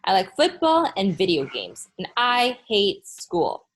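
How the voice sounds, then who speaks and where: A woman's voice: mid-range voice, huge pitch swings, slow pace, exaggerated voice, low-quality recording. A woman reading a children's storybook as an opinionated eight-year-old boy who hates school, with emphatic delivery. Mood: emphatic complaint. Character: eight-year-old boy narrator, blunt school-hating second grader. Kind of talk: children's storybook read-aloud.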